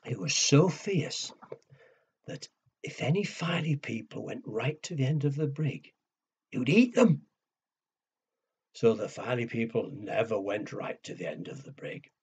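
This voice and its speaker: A man with a very husky, breathy voice tells stories to small children. He takes his time, using hushed dramatic pauses and simple questions to draw them in. The recording is dull and lo-fi. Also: mid-range voice, big pitch swings. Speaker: storyteller for young children. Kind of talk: children's storytelling and nursery rhymes.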